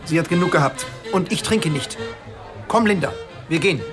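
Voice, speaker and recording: An actor playing a stern, jealous doctor in a radio drama, speaking in a formal, clipped, strained way. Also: male voice, fairly high pitch, normal speed, music in the background, close to an everyday voice. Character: stiff, jealous husband, a stern male doctor.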